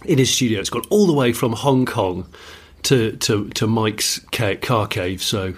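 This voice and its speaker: A man hosting a podcast in casual chat, hoarse and rambly. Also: lowish voice, unhurried. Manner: rambling, giggly. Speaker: podcast host.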